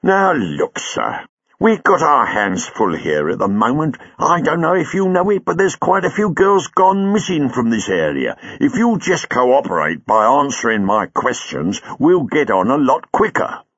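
A man puts on a weary but dogged Victorian police sergeant from the East End, in his vowels. The voice lifts above the narrator's own, with a lively, rising lilt. The audiobook sound is dry and a little muffled. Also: medium pitch, measured pace, husky, no echo, pitched above the performer's own voice, full character voice. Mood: dogged, patient. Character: stolid middle-aged Victorian police sergeant, patient.

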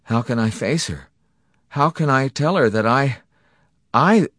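A male narrator acts out a frightened everyman from an audiobook. He pleads weakly in halting, stammered bursts, his voice pushed higher than normal speech. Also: expressive, a bit bright, dry recording, studio quality. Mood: weak, dazed. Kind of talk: audiobook character acting.

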